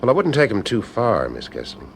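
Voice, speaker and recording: Wry private detective, male actor: very low, husky, animated delivery, storytelling and questioning in audio drama. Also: brisk, a little hiss or hum, exaggerated voice. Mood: probing. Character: male private eye, wry, observant, informal. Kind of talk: first-person detective narration and dialogue.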